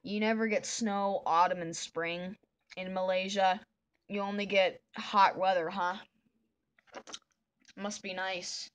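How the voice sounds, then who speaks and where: A woman streaming live, chatting with viewers in a soft, meandering way while she draws, with a voice that is deep for a woman. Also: little pitch movement, slow, pauses often, smooth. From northern United States. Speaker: livestreamer. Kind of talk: casual chat while drawing on a livestream.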